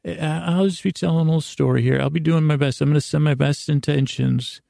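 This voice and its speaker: Male sleep-podcast host: deep vocal fry, soft-edged mellow tone, meandering, self-deprecating, lulling delivery. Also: steady tone, no room sound, studio quality. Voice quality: creaky, gravelly. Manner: halting, meandering, repeats and rephrases himself; self-deprecating, gently silly. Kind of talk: rambling, lulling bedtime monologue.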